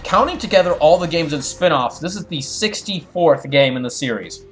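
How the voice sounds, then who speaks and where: Higher-voiced man streaming over music. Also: lively intonation, normal speed. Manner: rambling, explanatory, often restarts sentences. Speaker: streamer and host of a live fandub. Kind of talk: casual livestream commentary, chatting with viewers and reading game dialogue.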